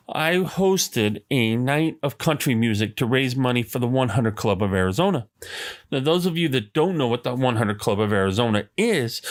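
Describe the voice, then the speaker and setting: A man hosting his own podcast, ranting in a rambling, enthusiastic way full of fillers and asides. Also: on the high side. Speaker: podcast host. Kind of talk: casual solo podcast rant. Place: Arizona.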